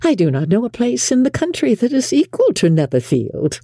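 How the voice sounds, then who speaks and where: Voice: mid-range voice, huge pitch swings, normal speed, warm tone, breathy edge, no echo, clean studio sound, pitched above the performer's own voice, full character voice. An audiobook narrator voicing a fussy, effusive middle-aged mother with a gushing, emphatic manner in a period novel.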